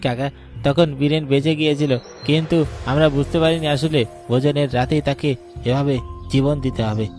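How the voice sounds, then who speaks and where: A man's voice: a little high, fairly even intonation, moderate pace, darkish tone, soft background music, pitched above the performer's own voice, close to an everyday voice. A man voicing a regretful young villager in a cartoon story, talking earnestly and sorrowfully to an elder.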